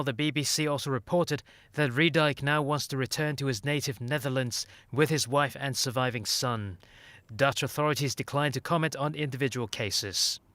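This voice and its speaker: A man's voice: medium pitch, fairly bright tone, no echo. Male news anchor, scripted delivery.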